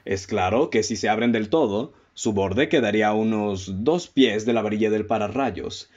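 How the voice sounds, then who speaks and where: A man with a Mexican accent playing a composed, analytical detective in a radio drama, speaking in a formal, didactic, deliberate way. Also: fairly low pitch, a little mellow, lightly acted. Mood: explaining. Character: analytical male detective, methodical, composed, leading his listeners. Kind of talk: radio drama acting, formal literary dialogue. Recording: dull, muffled lo-fi audio.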